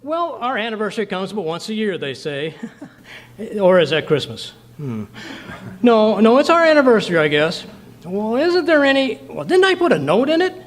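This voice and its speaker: A man acts out a bumbling, forgetful husband from an old-time radio comedy. His pitch swings widely as he hems, haws and stammers, by turns boastful and sheepish. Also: sing-song, normal speed. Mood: flustered, sheepish. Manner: hemming and hawing, stammering. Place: community clubhouse stage performance.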